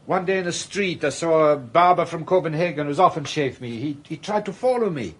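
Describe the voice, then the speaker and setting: An actor playing a frightened, hunted islander in hiding, who talks in an anxious, pleading way with halting repetitions and a husky voice. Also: male voice, fairly high pitch, expressive, a bit bright. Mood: fearful. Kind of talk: radio drama acting.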